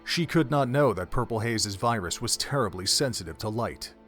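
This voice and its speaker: A man's voice: mid-range voice, animated, normal speed, fairly bright tone, soft background music. A man narrating a dramatic story from a script, as the narrator of an audio drama.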